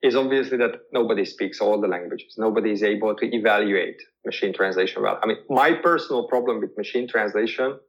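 A man's voice: fairly low pitch, fairly quick, hardly any pauses. A man whose first language is Hungarian, answering interview questions thoughtfully and repeating words as he searches for phrasing. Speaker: guest. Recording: dull lo-fi audio.

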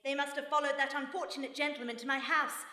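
High, prim British lady sleuth. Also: steady tone, fast, sharp tone. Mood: dry composure. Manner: prim, dry, commanding. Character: unflappable, genteel British lady sleuth, mother of grown son. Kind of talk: live radio play acting. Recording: echoey hall, live stage.

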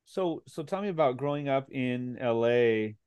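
A man's voice: medium pitch, expressive, normal speed, highs cut off, crowd noise. A man hosting a podcast, asking rambling, searching questions full of fillers. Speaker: podcast host. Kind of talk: casual interview conversation.

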